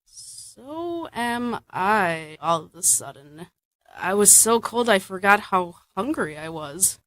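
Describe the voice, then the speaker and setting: A woman's voice: medium pitch, very animated, slow, fairly bright tone, dry recording, poor audio. Woman acting a bold young girlfriend in a radio play, teasing and wry, mid voice, very dry sound. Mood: tempted. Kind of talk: modern radio drama acting.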